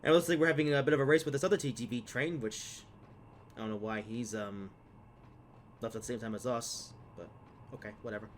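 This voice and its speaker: A man's voice: medium pitch, steady tone, fairly quick, a little hiss or hum. A young man with a slightly stuffed-up voice from a cold, casually commenting on his gameplay with many fillers and trailing asides. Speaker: gaming video host.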